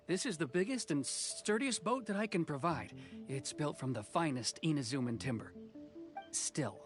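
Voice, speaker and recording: A man's voice: fairly high pitch, lightly acted. An actor playing an earnest, modest young male craftsman in a video game, speaking politely and apologetically. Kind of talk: video game character acting.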